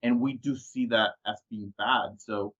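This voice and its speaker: A man's voice: fairly low pitch, unhurried. Guy going off on a podcast, swears a lot, kinda muffled recording. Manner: animated, swears freely, acts out examples. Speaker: podcast co-host. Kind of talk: casual chat. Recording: dull lo-fi sound.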